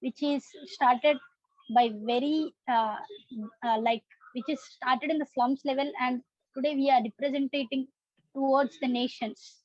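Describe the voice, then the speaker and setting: A woman with an Indian accent giving a formal, halting presentation over a muffled video call. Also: sits high, steady tone, darkish tone, dry recording. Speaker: youth parliament prime minister, presenter. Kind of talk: prepared presentation.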